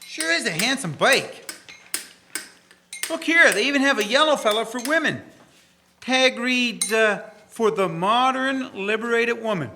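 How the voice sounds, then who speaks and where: A man's voice: very high-pitched, animated, unhurried, bright, forceful tone, slight background noise, exaggerated voice. A man playing a folksy 1890s bike shop worker in a community radio drama, with a colloquial, homespun way of talking. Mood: admiring. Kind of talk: community radio drama acting in early live radio manner.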